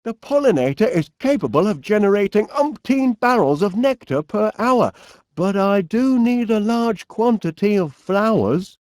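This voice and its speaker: A man's voice: high voice, expressive, moderate pace, darkish tone, no echo, studio quality. A man playing a cheerful middle-aged eccentric inventor in a cartoon video game, with a Northern English accent and a quaintly polite, dithering manner. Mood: proud, chirpy.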